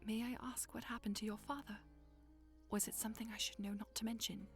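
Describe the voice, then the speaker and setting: A woman's voice: fairly high pitch, steady tone, fairly quick, soft background music, lightly acted. A woman playing a proud but self-doubting young princess in an audio drama, speaking earnestly in formal wording. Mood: soft, sympathetic.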